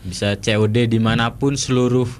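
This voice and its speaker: A young man, a comedian guest on a radio show, talking in a relaxed, joking way in a small studio on a boom-arm mic. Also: brisk. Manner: relaxed, joking, trails off mid-sentence. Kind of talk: casual radio interview.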